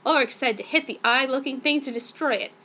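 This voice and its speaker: High-pitched woman giving a dramatic reading. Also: fairly quick, bright, forceful tone. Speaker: reader.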